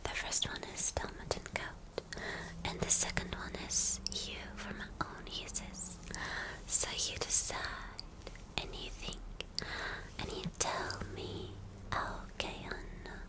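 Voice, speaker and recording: Woman playing a slow-whispering villainess girlfriend. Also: natural voice, low-quality recording. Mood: teasing, commanding. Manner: coaxing, domineering, slow and teasing endearments. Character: spoiled, cruel, possessive villainess girlfriend craving fur. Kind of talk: ASMR roleplay, whispered.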